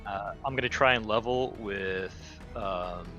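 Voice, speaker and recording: A man with a fairly low yet bright, forceful voice takes part in a tabletop game. His talk is informal and joking, with music playing behind him. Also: a little slow, dull audio. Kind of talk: casual in-character game chat. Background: background music.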